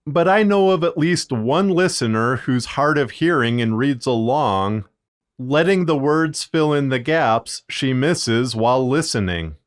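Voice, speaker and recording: A man with a smooth, clean voice at a medium pitch narrates a how-to guide. He takes his time, moving methodically through each point. The delivery is scripted but conversational and plain-spoken. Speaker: podcast creator and tutorial narrator.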